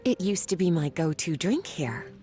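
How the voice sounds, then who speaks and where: An actress voicing a calm, lightly teasing female librarian and mage. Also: on the low side, moderate pace, soft background music. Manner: cool, languid, lightly teasing.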